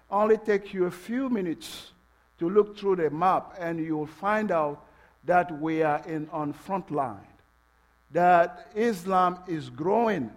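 A man from Togo with a French-English accent preaching a sermon in a church, halting with fillers, then building to passionate emphasis. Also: high-pitched. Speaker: pastor. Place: church sanctuary.

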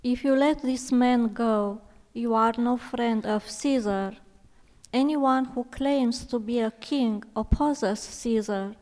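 Stern religious elder: slow, mellow, insistent accusation, long hall reverb. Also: female voice, a little high. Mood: accusing. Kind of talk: dramatised scripture audio acting.

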